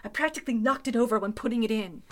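A woman's voice: on the high side, very fast, lightly acted. An actress playing an anxious but spirited woman in a golden-age style radio mystery, with dramatic, exclaiming delivery. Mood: exasperated, eager.

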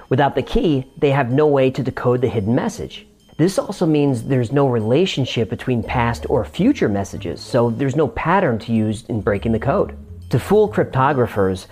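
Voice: medium pitch, dark tone. A video host narrating an explainer from a script, with casual, wry asides.

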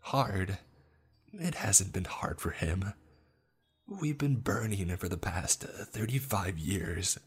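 A man's voice: low voice, animated, slow, husky, voice pushed up, exaggerated voice. A man voicing the ghost of a sassy, bitter thirteen-year-old girl in a horror story, speaking accusingly. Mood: bitter sarcasm.

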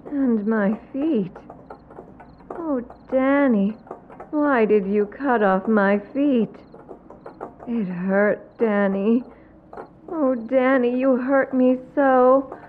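A woman voicing the vengeful ghost of a murdered woman, speaking in a slow, singsong, taunting way. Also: on the high side, phone-quality sound, a little hiss or hum. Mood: mournful, accusing. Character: dead female victim ghost, taunting, vengeful. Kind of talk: horror audio drama.

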